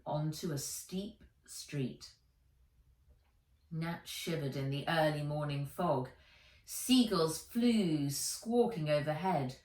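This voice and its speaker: A woman author reading her children's book aloud as a storyteller, in measured, expressive narration. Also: low-pitched. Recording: roomy echo, distant microphone.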